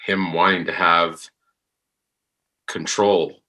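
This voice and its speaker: A man's voice: low voice, flat delivery, slow, bright, forceful tone. A man in casual reflective discussion, speaking tentatively and searching for his words. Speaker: actor discussing his podcast drama.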